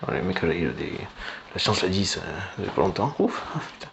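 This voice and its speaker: A man giving a rambling, informal political monologue in a halting, sardonic way, with slang and swearing. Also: low-pitched, very animated. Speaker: online political commentator. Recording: clearly noisy background.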